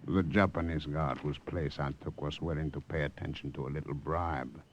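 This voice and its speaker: A calm Siamese helper plotting an escape in old radio style. The voice is deep and precise. The recording is muffled, with a faint hiss of noise. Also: male voice, normal speed. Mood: calm conspiring. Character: calm, shrewd Siamese man helping prisoners escape. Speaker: actor. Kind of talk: 1950s radio drama acting. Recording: dull, noisy vintage radio recording.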